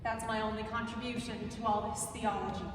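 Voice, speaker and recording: A woman acts out a gravely ill thirty-year-old, anguished and defiant, in a theatrical monologue. The high voice swings from outcry to hushed pleading. It is captured from a distance in a noisy, heavily reverberant hall. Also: little pitch movement, a little fast, natural voice. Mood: anguished, resolute. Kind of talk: staged reading of dramatic monologue in a live performance. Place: large reverberant museum space, live, distant mic.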